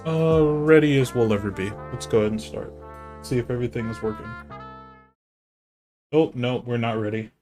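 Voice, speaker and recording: A man's voice: medium pitch, normal speed, pauses often, mellow, faint music behind. A man with a nasal voice streaming live, chatting casually and rambling to himself and his chat while he sets up.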